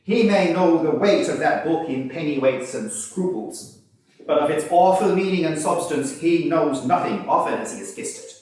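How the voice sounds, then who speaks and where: A man's voice: fairly high pitch, animated, normal speed, darkish tone, voice pushed up, close to an everyday voice. A man playing a defiant, witty Irish convict poet, declamatory and cutting in a mock-formal way. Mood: cutting, indignant. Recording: distant, very echoey room.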